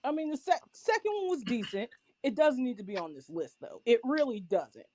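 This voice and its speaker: A woman throws out blunt, exasperated remarks in casual group banter. Her voice is a bit high and moves around in pitch for emphasis, and it is recorded dry with hardly any room. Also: very animated, a little fast, a little mellow, no echo. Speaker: panelist.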